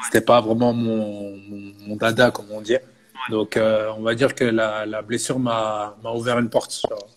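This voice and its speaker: A man's voice: fairly low pitch, a little slow. A man in his thirties from Belgium, a personal fitness coach, talking casually in an interview and often tagging on "you see" and restarting phrases. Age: 30s. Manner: relaxed, frequent filler "tu vois" and restarts.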